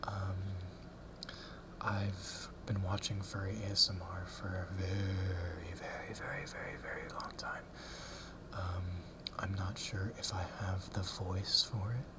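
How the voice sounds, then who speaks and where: A man whispering a rambling ASMR talk close to the mic, softly repeating words as triggers, with ums and small nervous laughs. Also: low-pitched, flat delivery, a little slow, background noise. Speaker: ASMR creator. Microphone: close ASMR mic, brushed.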